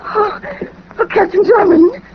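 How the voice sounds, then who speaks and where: A woman's voice: very high voice, a little slow, noisy background. Hard-edged American gangster's woman, bitter, slangy, 1940s radio crime drama, dull lo-fi recording. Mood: frightened relief. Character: bitter, double-crossed tough American gangster's moll.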